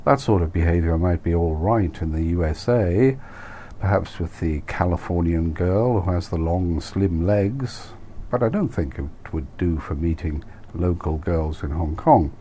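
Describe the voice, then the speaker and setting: Deep, mellow male audiobook narrator, wry. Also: measured pace. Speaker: author reading his own novel. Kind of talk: scripted audiobook narration, wry first-person. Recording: clear steady background noise.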